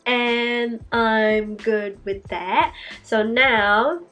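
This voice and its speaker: A young woman with a raspy, congested voice, clearly sick and breathing heavily as she talks. She takes her time, speaking in an unhurried, easy way through a casual beauty tutorial, with soft music playing faintly underneath. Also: on the high side. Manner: breathy, heavy breathing, light laughs. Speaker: beauty vlogger.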